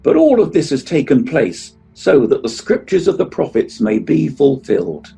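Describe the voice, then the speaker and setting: A man playing Jesus as a calm, unyielding teacher in a dramatised scripture reading, with a husky voice. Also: fairly high pitch, normal speed, soft background music, heavily acted. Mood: firm reproach.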